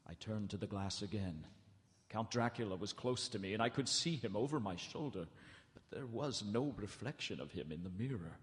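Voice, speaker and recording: An actor playing an earnest, frightened captive in a live radio horror drama, with halting, theatrical delivery. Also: male voice, lowish voice, expressive, lightly acted. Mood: anxious dread. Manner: theatrical, halting, anxious repetition. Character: earnest, frightened Englishman held prisoner, narrating his journal. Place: large hall stage, live broadcast. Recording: live theatre hall, long reverb.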